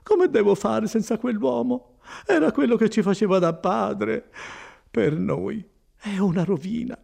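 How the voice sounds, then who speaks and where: A man's voice: sits high, normal speed, dark tone, voice pushed up. A man voicing a distressed, middle-aged peasant woman in an audiobook, with wailing, pleading exclamations. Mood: anguished, pleading. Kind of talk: dramatised audiobook reading.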